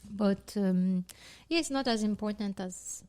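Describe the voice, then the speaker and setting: A woman's voice: mid-range voice, a little slow, a little mellow, clear, resonant tone. A woman from Morocco co-hosting a casual podcast chat, laughing often and restarting her sentences. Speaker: podcast co-host.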